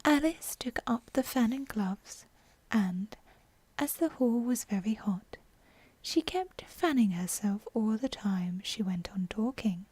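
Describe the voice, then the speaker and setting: A woman narrating a bedtime audiobook in soft ASMR style, breathy and whispered, close to the microphone. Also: on the high side, animated, slow, dry recording. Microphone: close, dry microphone.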